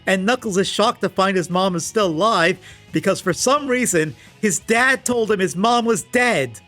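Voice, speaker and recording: A man's voice: high voice, expressive, moderate pace, fairly bright tone. A man delivering scripted comedic commentary in a sarcastic, exasperated way with emphatic swings. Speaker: video essayist reviewing comics. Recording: music playing behind the voice. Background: background music.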